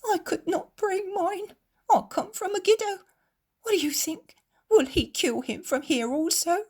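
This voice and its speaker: A woman's voice: extremely high pitch, unhurried, darkish tone, voice pushed up. A woman voicing a desperate mother who weeps over her dying son. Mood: weeping. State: crying.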